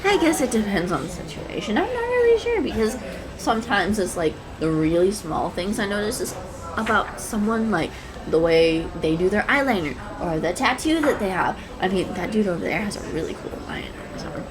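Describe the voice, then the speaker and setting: A woman's voice: mid-range voice, sing-song, normal speed, close to an everyday voice. A woman playing a shy, anxious young woman in an audio roleplay, rambling nervously and self-consciously to a stranger. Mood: awkward, rambling. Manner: nervous, hesitant, rambling, self-deprecating, giggly. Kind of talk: intimate audio roleplay addressed to the listener. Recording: coffee shop chatter behind voice. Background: coffee shop crowd sound effects.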